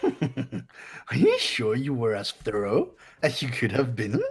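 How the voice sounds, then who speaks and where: A man voicing a gleeful, mocking mascot villain in a game playthrough, with a squeaky, sing-song delivery. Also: huge pitch swings, takes it slowly, husky, heavily acted. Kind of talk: voiced video game playthrough.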